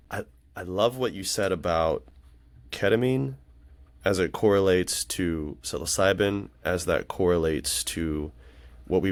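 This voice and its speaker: A man in his late thirties chatting casually on a podcast in an earnest, reflective, rambling way. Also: sits low. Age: late 30s. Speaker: podcast conversation partner.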